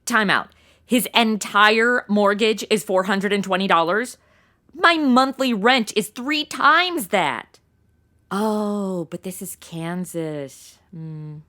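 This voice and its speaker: A woman's voice: medium pitch, slow pace, sharp tone. A woman playing a sassy modern young woman in a comedy audio drama, sarcastic, blunt and exasperated. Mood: incredulous. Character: sassy modern young woman stuck in old radio westerns.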